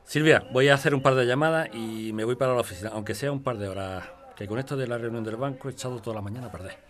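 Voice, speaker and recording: A man's voice: mid-range voice, fast, soft background music, natural voice. A man playing a dutiful, placating husband with a flirtatious streak in a Spanish audio drama, with a Spanish accent. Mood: calm, businesslike.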